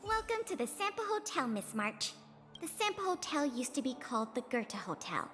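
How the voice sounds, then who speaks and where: A woman's voice: high voice, very animated, moderate pace, fairly bright tone. A woman playing a poised young hotel hostess in a video game, polite and formal. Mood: courteous. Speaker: game character voice actor. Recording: long reverb, game music underneath.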